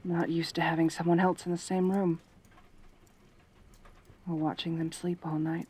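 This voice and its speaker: A woman's voice: lowish voice, level tone, moderate pace, dark tone, breathy edge. A woman playing a lonely, possessive centaur girl in an intimate ASMR roleplay, tender and anxious, with a hesitant, pleading manner. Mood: hesitant, gentle.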